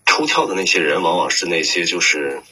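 Male voice actor as interview guest: very low, strikingly rough voice, reflective, often pausing to find his words. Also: sharp tone. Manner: hesitant, searching for words.

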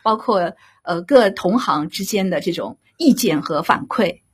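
A woman giving explanatory, measured and courteous answers as a guest in an interview. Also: fairly low pitch, animated, husky. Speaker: radio drama director, interview guest.

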